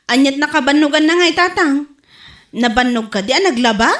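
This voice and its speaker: An inquisitive young Ilocano child in a radio comedy. The voice is high and full of curious questioning. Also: female voice, animated, a little fast, a bit bright. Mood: curious, puzzled.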